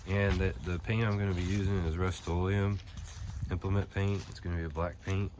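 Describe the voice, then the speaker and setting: Young male vlogger, head cold, deep voice, loose filler-heavy delivery, noisy phone audio outdoors. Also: a little fast. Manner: relaxed rambling with fillers. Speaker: channel host. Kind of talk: casual how-to vlog. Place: pole barn, then outdoors on a lawn. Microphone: phone at arm's length, then phone camera behind it.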